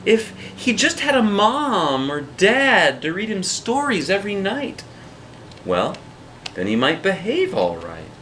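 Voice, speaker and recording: A man reads a children's picture book aloud in the style of a dad at storytime. His delivery is slow and very lively in pitch, with dramatic, rhythmic phrasing and casual asides. A faint noise runs under the recording.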